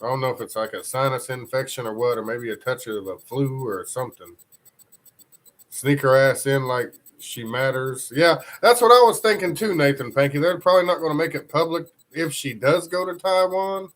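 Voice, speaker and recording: Male streamer, congested, loose informal delivery, average pitch. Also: normal speed, a bit bright. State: congested from a cold. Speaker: live stream news host. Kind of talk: casual live stream chat, reading comments.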